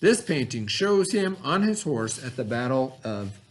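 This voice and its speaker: A man reading aloud like a teacher to pupils, pausing to explain words and put questions to his listeners. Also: medium pitch, big pitch swings. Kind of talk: educational read-aloud with asides and questions.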